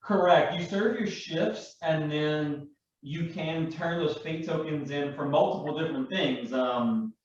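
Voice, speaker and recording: A man's voice: fairly high pitch, unhurried, a little hiss or hum. A man chatting casually and enthusiastically as he explains game rules, rambling and correcting himself. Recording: echoey room, distant mic, muffled sound.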